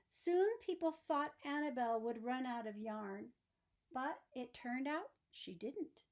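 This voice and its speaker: A woman reading a children's storybook aloud in expressive narration, with deliberate, emphatic phrasing for young listeners. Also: high-pitched.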